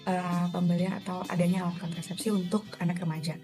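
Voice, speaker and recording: A woman's voice: fairly low pitch, little pitch movement, fast, warm tone, soft background music. A young woman law student asking interview questions, hesitant with fillers. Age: young adult.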